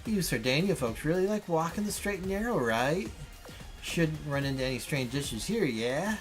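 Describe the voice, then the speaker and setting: A man's voice: on the high side, very animated, moderate pace. Guy doing a cocky sarcastic visual novel character, exclaiming a lot, music in back. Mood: sarcastic, frustrated. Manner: sardonic, exclamatory.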